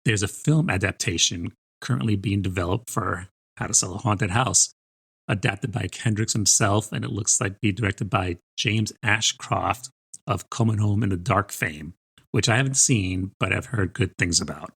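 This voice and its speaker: A man's voice: on the low side, normal speed, creaky, fairly bright tone, slightly rough. A man co-hosting a book podcast, talking through a review in a conversational way.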